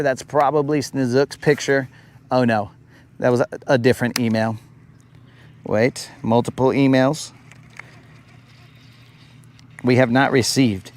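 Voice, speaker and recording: A man's voice: mid-range voice, slight background noise. A man hosting a live fishing stream outdoors on a lake. He chats casually, reads viewer comments aloud and often says "bro". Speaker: fishing livestream host.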